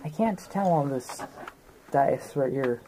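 A woman with a low voice and very varied intonation chats casually as a player in a tabletop game. Faint noise sits behind her. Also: animated, takes it slowly, dark tone, low-quality recording.

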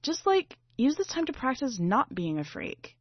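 A woman's voice: high-pitched, sing-song, moderate pace, slightly rough, no echo, pitched above the performer's own voice, exaggerated voice. A woman voicing a scornful, exasperated sister in a story, snapping and dismissive, on a slightly dull recording. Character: scornful, exasperated girl, narrator's sharp-tongued sister.

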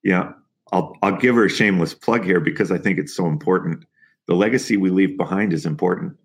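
Deep gravelly motivational man in his fifties. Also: fairly quick. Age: 50s. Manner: emphatic, motivational. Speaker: guest, nutrition coach. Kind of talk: podcast interview.

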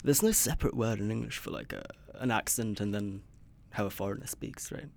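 Relaxed Swedish man, casual banter. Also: on the low side, fairly quick, creaky. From Sweden. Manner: relaxed, joking, puts on accents for laughs. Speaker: friend in conversation. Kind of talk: casual chat.

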